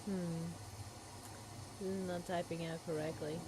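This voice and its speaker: A woman talking quietly and sleepily to an AI assistant. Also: lowish voice, fairly even intonation, unhurried, noisy background. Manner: sleepy, soft-spoken, quiet.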